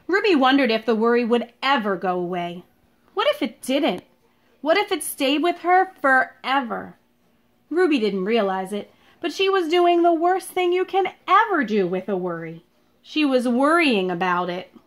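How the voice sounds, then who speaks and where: A woman teacher speaking to young children in an expressive, deliberate read-aloud style, recorded at home in a living room. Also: high voice. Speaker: elementary school teacher reading a picture book aloud.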